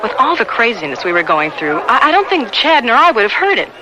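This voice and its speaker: A woman's voice: a little high, very animated, a little fast, a crowd in the background, noisy background. An actress playing a determined, practical woman balloon pilot who narrates her radio drama. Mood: relieved. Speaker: narrator and lead.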